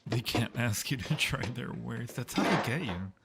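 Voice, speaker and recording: A man's voice: medium pitch, lively intonation, moderate pace, gravelly, heavy background noise. A man hosting a livestream, giving casual, joking commentary over a film. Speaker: livestream host. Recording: loud film soundtrack behind the voice. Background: old horror film playing with music.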